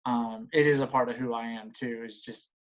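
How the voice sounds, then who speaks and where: Guy late 30s, mid pitch, casual, keeps stopping and restarting his sentences. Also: steady tone, rough recording. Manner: halting, restarts sentences often. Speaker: returning panel guest. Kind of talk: casual chat.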